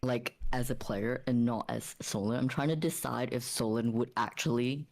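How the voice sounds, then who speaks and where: A woman's voice: very deep voice, steady tone, normal speed, darkish tone. A woman playing a tabletop game, chatting casually out of character. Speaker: player.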